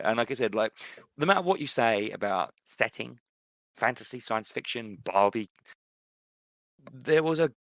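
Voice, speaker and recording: A man's voice: medium pitch, gravelly, fairly bright tone, no echo. A man co-hosting a podcast, chatting casually and enthusiastically about films, repeating himself for emphasis. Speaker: podcast co-host. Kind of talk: casual film discussion.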